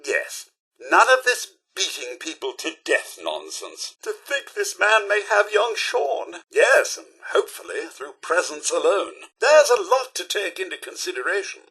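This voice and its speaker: A man's voice: high voice, huge pitch swings, unhurried, sharp tone, slightly rough, exaggerated voice. A man voicing a prim, fussy, loyal robot butler in a video game, with a British accent and a formal, theatrical, moralizing manner. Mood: measured, earnest. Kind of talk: scripted game dialogue.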